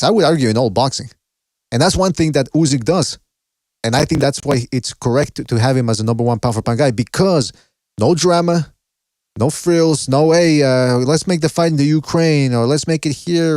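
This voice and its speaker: A man hosting a boxing podcast, chatting casually and thinking out loud with some swearing. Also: mid-range voice, no echo, studio quality. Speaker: podcast host.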